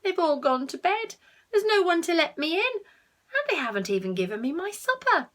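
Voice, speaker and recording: A woman's voice: extremely high pitch, very animated, moderate pace, fairly bright tone, voice pushed up, heavily acted. A woman voicing a forlorn, hopeful pet cat in a children's storybook, in a plaintive way.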